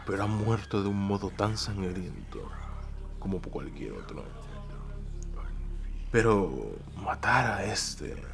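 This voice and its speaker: A man voicing a sinister masked killer champion in an audio drama, dismissive and menacing in a breathy whisper. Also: fairly low pitch, lively intonation, slow pace, long pauses, background noise, exaggerated voice. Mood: cold dismissal. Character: sinister male killer champion in stolen-skin mask. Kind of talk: audio drama character acting.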